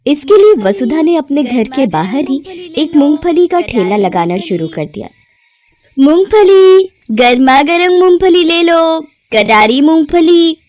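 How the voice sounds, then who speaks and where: A woman narrating a scripted moral story for an animated channel, clear and steady. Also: fairly high pitch.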